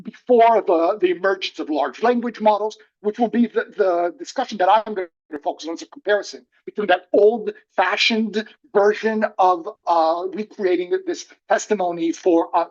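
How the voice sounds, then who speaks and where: Panel speaker, mid pitch, halting and reflective, lo-fi online call. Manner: hesitant, thoughtful, many fillers. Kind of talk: online academic presentation. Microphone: video call.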